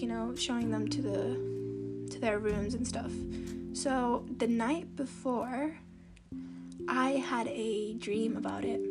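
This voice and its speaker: A teenage girl with a soft, youthful, baby-like voice, hosting a podcast at home in a chatty, meandering way with lots of "like" and restarts. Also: on the high side. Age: teens. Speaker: podcast host. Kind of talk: casual rambling podcast monologue. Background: loud guitar music.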